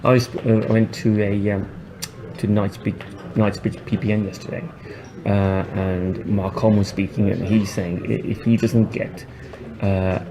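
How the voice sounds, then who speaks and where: A man hosts an interview in a busy room, his voice loud by his own account. He hesitates and restarts often, filling gaps with ums. A crowd murmurs behind him. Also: fairly low pitch, flat delivery, moderate pace, low-quality recording. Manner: hesitant, many fillers, self-described loud voice. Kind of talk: casual interview conversation.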